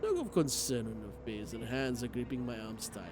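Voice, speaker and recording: A man streaming a game, reading its story aloud in an expressive, theatrical way. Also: mid-range voice, moderate pace, noisy background. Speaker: streamer playing a visual novel. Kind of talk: dramatic read-aloud narration with live reactions.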